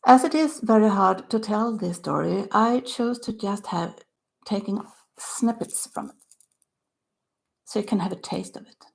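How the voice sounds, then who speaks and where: An older woman with a Swedish accent, speaking English in halting phrases, her voice dropping to a soft near-whisper at the ends of phrases as she talks about her own book. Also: medium pitch, vocal fry, low-quality recording. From Sweden. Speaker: author. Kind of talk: self-recorded book talk.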